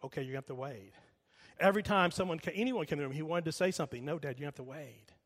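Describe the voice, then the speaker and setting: Middle-aged guy preaching, slightly high voice, slows down to hammer points, long hall echo. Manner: emphatic, slows down and repeats for emphasis, drops quiet when storytelling. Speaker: pastor. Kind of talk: sermon. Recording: long reverb of a large hall.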